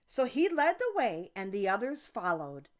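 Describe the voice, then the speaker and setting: A woman reading a children's story aloud as an audiobook narrator, expressive and deliberate. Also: a little high. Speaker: audiobook reader.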